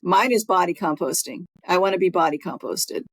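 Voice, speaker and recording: A woman's voice: lowish voice, animated, fairly quick, husky, no room sound. A woman co-hosting a podcast in casual conversation. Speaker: podcast co-host.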